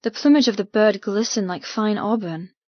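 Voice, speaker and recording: A woman's voice: medium pitch, moderate pace, darkish tone, no room sound, muffled recording. A woman narrating a first-person novel as an audiobook, with a dramatic, intense delivery.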